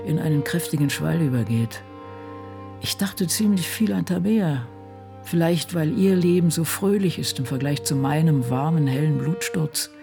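Ailing elderly female writer, creaky voice, brooding and blunt, intimate radio monologue over clear music. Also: low voice, exaggerated voice.

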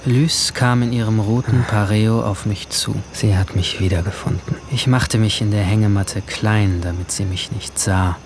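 A man's voice: fairly low pitch, steady tone, moderate pace, few pauses, noisy background, natural voice. A man playing a withdrawn, brooding 17-year-old boy who narrates his summer in a radio drama, introspective and terse. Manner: introspective, terse, awkward in dialogue. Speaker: narrator and main character.

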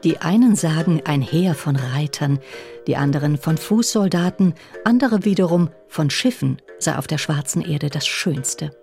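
A woman's voice: low-pitched, lively intonation, moderate pace, gravelly, darkish tone, faint music behind. A woman reciting verse as a passionate ancient lyric poet in a radio feature. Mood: assured, admiring. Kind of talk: literary recitation of poetry.

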